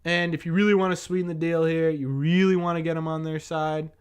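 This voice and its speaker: A hoarse young man with a New England accent, hosting a casual live-stream sports chat, rambling and thinking aloud as he answers viewers. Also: on the high side, fairly even intonation, fairly quick. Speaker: sports podcast host.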